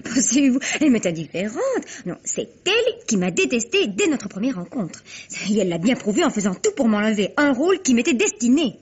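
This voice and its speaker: A woman's voice: a little high, big pitch swings, a little fast, slightly rough. A woman playing a young, self-assured actress in a vintage radio mystery, speaking theatrically with precise, proud insistence. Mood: indignant. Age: young adult. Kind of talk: 1950s French radio mystery acting. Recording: dull, lo-fi vintage radio recording.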